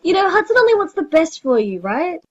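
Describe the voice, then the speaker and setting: Girl acting as casual supportive friend, high lively voice, bit muffled, audio comedy. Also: fairly quick. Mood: frank. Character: frank, supportive young female friend, casual. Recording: slightly dull, muffled sound.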